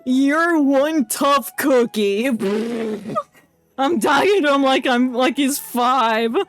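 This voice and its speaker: A woman's voice: high-pitched, extremely slow. A woman game streamer giving casual, playful live commentary with joking asides and reading dialogue aloud. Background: game music.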